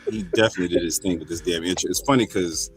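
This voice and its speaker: A man's voice: low-pitched, quick pace. A man hosting a casual group chat, telling loose stories and welcoming each guest by name. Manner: loose, storytelling, acts out quotes with raised voice. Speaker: podcast host.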